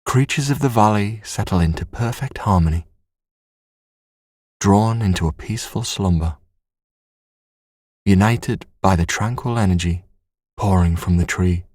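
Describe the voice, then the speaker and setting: A man narrating a scripted sleep story in a soothing, measured, hushed bedtime manner. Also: on the low side, dry recording.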